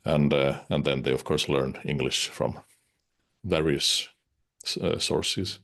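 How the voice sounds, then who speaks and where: A man's voice: extremely low pitch, steady tone, slow pace, creaky, fairly bright tone. A man whose first language is Finnish, speaking English in a casual interview, hesitant, with many uhs and restarts. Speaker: interviewed musician.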